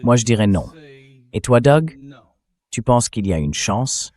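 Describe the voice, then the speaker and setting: A man's voice: medium pitch, very animated, a little slow, a little mellow. An AI-generated male voice reading a translated voice-over for the host of a political interview.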